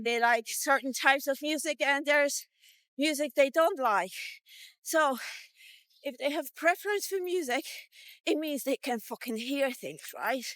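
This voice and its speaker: A woman's voice: fairly high pitch, fairly bright tone, no echo. A woman in her fifties talking casually in a solo vlog, blunt and sweary, thinking aloud as she goes. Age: 50s. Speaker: vlogger. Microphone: walkie-talkie vlog, recorded on the go.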